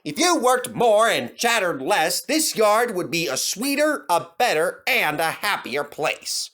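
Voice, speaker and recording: A man's voice: high voice, very animated, measured pace, sharp tone, pitched above the performer's own voice, heavily acted. A man voicing a haughty, scornful big male steam engine in a children's storybook. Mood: scolding.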